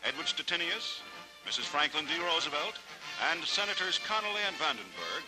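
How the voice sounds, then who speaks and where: A man's voice: on the high side, very animated, fairly quick, poor audio. An American man delivering formal, declamatory scripted narration in the style of a 1940s newsreel. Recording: vintage 1940s newsreel soundtrack, loud music. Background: loud newsreel music.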